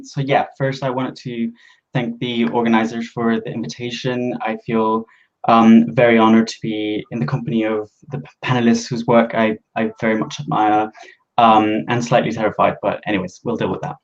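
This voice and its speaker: A man from Venezuela, introducing himself as a panelist in a measured way with frequent ums. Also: medium pitch, flat delivery. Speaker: panelist, drug policy advocate. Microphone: video call. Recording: slightly dull lo-fi call audio.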